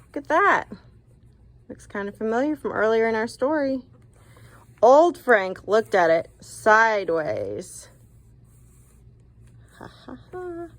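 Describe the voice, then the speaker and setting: A woman reading a picture book to children in an expressive, guiding way, adding comments and questions as a teacher would. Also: a little high, slow, pauses often. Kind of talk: read-aloud for children with commentary asides.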